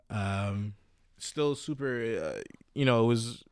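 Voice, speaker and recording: A young man in his twenties, a podcast host, telling his own story in a casual, halting way with many restarts and fillers. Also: mid-range voice, clear, resonant tone, no echo, clean studio sound.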